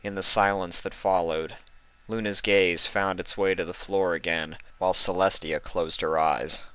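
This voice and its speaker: A man reading a fan fiction story aloud as expressive scripted narration. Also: low-pitched, moderate pace, clear, resonant tone, no room sound.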